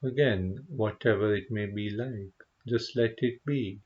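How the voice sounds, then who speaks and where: Very slow-paced man guiding meditation. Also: lowish voice, dull audio. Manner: gentle, unhurried, contemplative, with pauses. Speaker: meditation teacher, Buddhist monk. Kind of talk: guided meditation.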